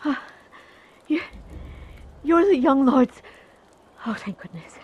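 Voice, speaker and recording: Anxious, pleading servant. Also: female voice, high-pitched, expressive, takes it slowly, dull audio. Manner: earnest, respectful, pleading. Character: anxious, pleading woman loyal to her young lord. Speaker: video game character. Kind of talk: game cutscene acting.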